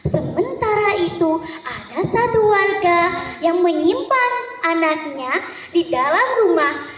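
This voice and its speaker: Woman, theatrical folk-tale narration, deliberate dramatic pauses, far from the microphone, long hall reverb. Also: very high-pitched, big pitch swings, a bit bright. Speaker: storytelling contestant. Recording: echoey hall, distant microphone.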